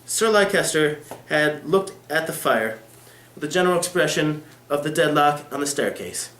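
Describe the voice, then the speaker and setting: A man's voice: on the high side, animated, moderate pace, a bit bright. A teenage male student giving a scripted dramatic reading of a classic novel as narrator. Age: teens. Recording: echoey room.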